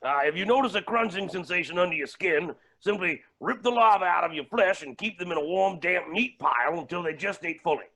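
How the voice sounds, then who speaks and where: A raspy, creaking villain of a billionaire played by a man, pitched fairly high. He is oily, smug and theatrical, relishing his menace in a comic stage play. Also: brisk, hardly any pauses, pushed and bright, full character voice. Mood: smug, sinister.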